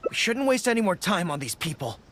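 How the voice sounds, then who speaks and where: An actor playing an earnest, dutiful young army general in an anime video game, speaking formally and with resolve. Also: male voice, high voice, sing-song, brisk, a bit bright, slight background noise. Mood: stern, indignant. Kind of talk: English-dubbed anime video game acting. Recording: game music behind the voice.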